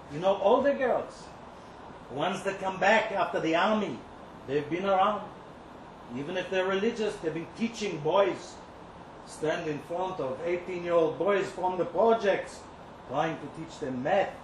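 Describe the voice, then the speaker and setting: Male reader as cocky, lovesick working guy for a live story monologue; streetwise, confiding, unhurried delivery, heard in a roomy space. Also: high voice. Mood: wistful, puzzled. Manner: colloquial, streetwise, confiding, rambling. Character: cocky, lovesick, resentful male kibbutz kitchen worker. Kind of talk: dramatic monologue read live. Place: indoor live reading event. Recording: roomy, distant live-event recording, faint noise.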